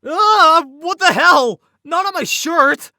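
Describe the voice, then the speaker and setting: A man's voice: very high-pitched, expressive, slow, bright, forceful tone, no room sound, studio quality, exaggerated voice. A man playing an exasperated but caring teenage schoolboy in a horror game dub, reacting expressively. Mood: disgusted, flustered. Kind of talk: video game dub acting.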